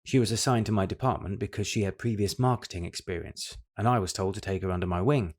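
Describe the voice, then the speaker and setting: Casting: middle-aged British husband, dutiful but guilty, measured delivery, slightly low voice, confessional radio drama. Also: male voice, darkish tone. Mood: guilty, self-justifying. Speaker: actor in an audio drama. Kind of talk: confessional audio drama monologue.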